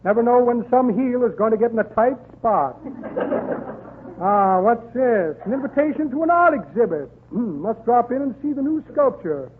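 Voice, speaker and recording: High-pitched, fast-talking radio crook. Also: male voice, animated. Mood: sly, chummy. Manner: rambling patter, muttering asides to himself. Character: fast-talking shifty crook, chummy comic rogue rummaging his pockets. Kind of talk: 1930s radio comedy acting. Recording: scratchy, tinny 1930s radio broadcast.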